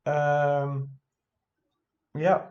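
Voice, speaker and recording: A man's voice: a little high, completely flat delivery, extremely slow, a little mellow, dull audio. A man who is not a native English speaker, casually thinking aloud as he reviews his own game, hesitant and often correcting himself.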